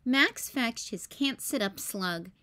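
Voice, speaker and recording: A woman hosting story time, reading a children's picture book in a careful, expressive way for young listeners. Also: on the high side, slow. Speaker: storytime host.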